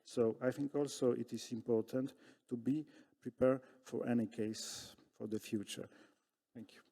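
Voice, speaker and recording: Halting Polish-accented man in a reverberant hall. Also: mid-range voice, fairly even intonation, mellow, husky. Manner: halting, many fillers and restarts. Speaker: conference panelist. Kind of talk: conference panel answer. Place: large conference hall.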